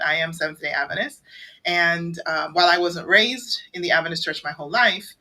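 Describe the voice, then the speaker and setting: A woman who grew up in the Caribbean, hosting an online book discussion in a welcoming, measured way over a video call. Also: deep voice, bright, forceful tone, rough recording. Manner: welcoming, measured, with many fillers. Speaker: co-leader and host of a book circle.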